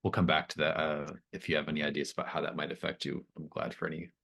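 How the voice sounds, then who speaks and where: A man's voice: extremely low pitch, level tone, fast talker, vocal fry, a bit bright, breathy edge. A man narrating as game master of a tabletop roleplaying game, improvising in a conversational, hesitant way as he thinks aloud.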